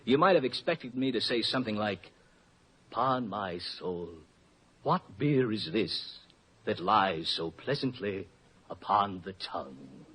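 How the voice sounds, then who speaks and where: A man's voice: fairly low pitch, very animated, slow pace, fairly bright tone, a little hiss or hum, exaggerated voice. An actor playing a bashful, clumsy young amateur actor who tells his story in a hesitant, mock-formal way for a 1950s radio commercial. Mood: mock-grand. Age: young adult. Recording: muffled old radio recording.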